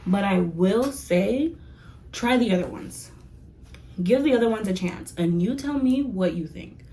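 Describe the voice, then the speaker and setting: A young woman chatting casually to her viewers in a rambling review, laughing often and filling pauses with "like" and "um". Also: medium pitch, lively intonation, dark tone, low-quality recording. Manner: chatty, giggly, rambling with many fillers. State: losing her voice. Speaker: vlogger reviewing comics.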